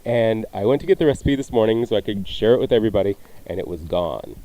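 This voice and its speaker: Mellow, joking American male radio cook. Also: on the low side, lively intonation, fast, poor audio. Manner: jokey, teasing. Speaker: cooking show host. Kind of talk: casual joking radio chat.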